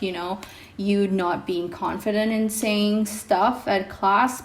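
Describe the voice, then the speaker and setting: A young woman vlogger whose first language is Indonesian, talking casually to her viewers with many pauses and ums. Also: medium pitch. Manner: hesitant, many ums, reflective. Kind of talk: casual vlog talk to camera. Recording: echoey room with faint music.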